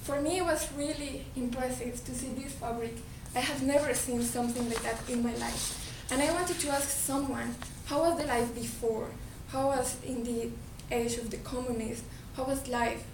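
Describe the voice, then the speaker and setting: High Colombian-accented woman, echoey hall. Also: steady tone, normal speed, few pauses. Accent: Colombian Spanish. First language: Spanish. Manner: earnest, repeats and restarts words. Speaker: speaker giving a talk. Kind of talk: presentation to an audience. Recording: echoey hall, distant mic, background noise.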